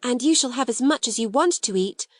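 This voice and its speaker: A woman's voice: fairly high pitch, animated, a little fast, no room sound, studio quality, pitched above the performer's own voice. A woman voicing an eager, impulsive, generous schoolgirl in a storybook.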